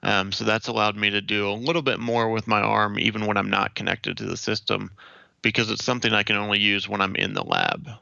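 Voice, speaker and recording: Gravelly young American man, low voice. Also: little pitch movement, brisk, bright, forceful tone, no echo, lo-fi sound. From Ohio, United States. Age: young adult. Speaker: interview guest. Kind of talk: explanatory interview answers.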